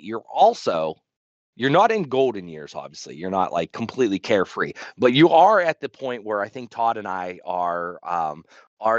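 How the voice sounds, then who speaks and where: A man's voice: medium pitch, no room sound, highs cut off. A man in his thirties co-hosting a podcast, rambling and joking with many restarts in casual chat. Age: 30s. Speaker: podcast co-host.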